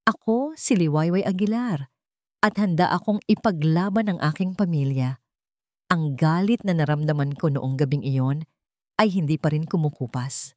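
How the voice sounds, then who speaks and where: Low-pitched woman narrating drama, dry sound. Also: moderate pace, darkish tone, no room sound. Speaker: narrator of romantic drama stories. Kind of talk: dramatic first-person scripted story narration.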